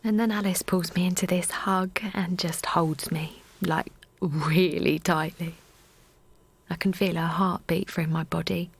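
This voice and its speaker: A woman's voice: lowish voice, fairly even intonation, unhurried, creaky, slightly rough, heavily acted. A woman playing an anxious, naive young mother in an intimate audio drama. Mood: hushed, aching. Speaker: actor and narrator in an audio drama. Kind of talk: intimate first-person audio drama acting.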